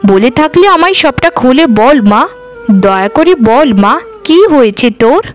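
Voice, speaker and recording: A woman's voice: high voice, lively intonation, moderate pace, soft background music. A woman voicing a poor, patient village mother in a cartoon, earnest, caring and plaintive. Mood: worried, caring. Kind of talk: Bengali cartoon dubbing.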